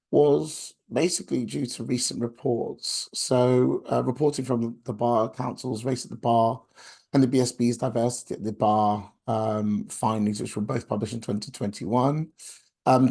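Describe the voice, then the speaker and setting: A young man with a hoarse voice from a chest infection, presenting a webinar over a video call in a halting, friendly way with many filler sounds. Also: mid-range voice, warm tone. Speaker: outreach coordinator.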